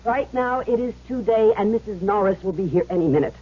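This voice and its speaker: An actress playing a fed-up, sharp-tongued woman who works as a fake medium in a vintage radio drama. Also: medium pitch, brisk, slightly rough, lightly acted. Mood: fed up, nagging. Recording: muffled noisy old radio recording.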